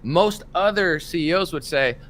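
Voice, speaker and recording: A man hosting a casual commentary chat, talking in an animated, opinionated way and acting out what others said. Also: a little high, normal speed. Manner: animated, opinionated, acts out quotes. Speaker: podcast host.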